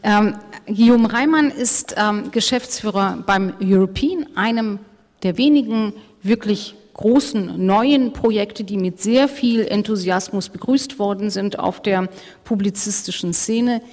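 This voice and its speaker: A woman moderating a live panel discussion on a conference stage, brisk and wry, hurrying speakers along. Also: mid-range voice, a little mellow. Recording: reverberant hall.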